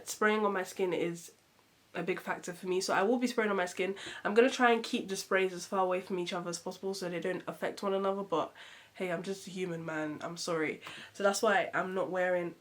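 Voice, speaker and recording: A woman reviewing perfumes in a casual vlog. She sounds nasal and muffled from a cold, and she talks in a chatty, expressive way with lots of fillers. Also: on the low side. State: congested from a cold.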